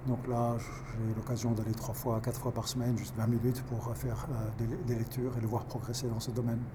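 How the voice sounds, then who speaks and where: A man in his fifties, a pastor volunteering as a tutor, answering interview questions in a halting way with many restarts. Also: medium pitch, level tone, background noise. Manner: halting, restarts words often.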